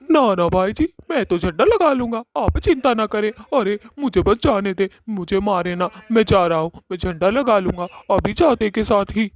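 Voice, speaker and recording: Man acting a high-voiced, frightened villager. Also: animated. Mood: scared pleading. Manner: pleading, flustered, hurried reassurances. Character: frightened, pleading village man, meek and polite. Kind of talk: Hindi cartoon acting.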